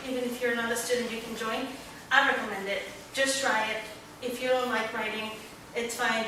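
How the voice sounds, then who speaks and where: A young Mexican woman, a student panelist, speaking earnestly at a book launch and often pausing to find her words. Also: on the high side. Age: young adult. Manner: earnest, searching for words with many pauses. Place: large echoey library hall. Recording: echoey hall, distant, room noise.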